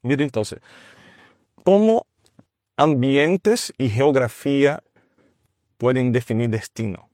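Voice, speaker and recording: Brief: Brazilian man, non-native Spanish, halting delivery, dry close sound. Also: medium pitch, a little mellow, dry recording. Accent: Brazilian Portuguese. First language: Portuguese. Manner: halting, with restarts and searching for words. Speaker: guest, teacher of a ministry. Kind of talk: conversational testimony.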